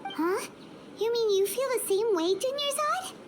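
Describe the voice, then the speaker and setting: A woman's voice: lively intonation, unhurried, a little hiss or hum. A woman voicing a tiny floating fairy girl companion in a game, who speaks of herself in the third person and sounds hesitant. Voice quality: very high, squeaky childlike voice. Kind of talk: game voice acting.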